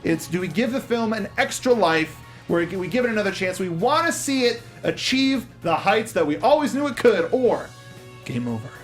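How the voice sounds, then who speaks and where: A man's voice: high-pitched, sing-song, moderate pace. A man hosting a casual panel review show in an enthusiastic way. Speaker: host of a movie review show. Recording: music playing under the talk. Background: music.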